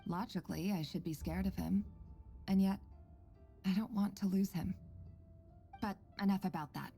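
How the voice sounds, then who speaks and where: A woman playing an earnest, composed researcher in a video game, speaking in a measured, articulate and quietly caring way. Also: mid-range voice, steady tone, gravelly, husky, soft background music. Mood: gentle, guarded. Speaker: voice actor in a game story quest. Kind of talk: scripted game dialogue and log narration.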